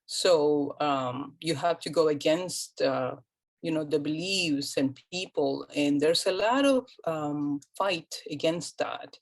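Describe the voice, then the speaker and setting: A woman from Colombia, talking as an interview guest, pausing often with ums and restarts. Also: sits low. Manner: hesitant, many ums and restarts. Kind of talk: casual interview conversation.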